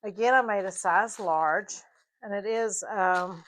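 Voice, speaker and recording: A slightly hoarse woman with a Southern US mountain accent, explaining her sewing project in a casual, halting tutorial with fillers. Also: mid-range voice, a bit bright, poor audio. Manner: halting, with frequent fillers and restarts. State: a little hoarse. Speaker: sewing vlogger.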